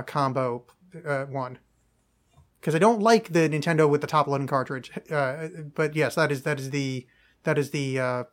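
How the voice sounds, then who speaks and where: A young man hosting a casual podcast chat, rambling and self-mocking, swearing and laughing at his own jokes. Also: on the high side. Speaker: podcast host.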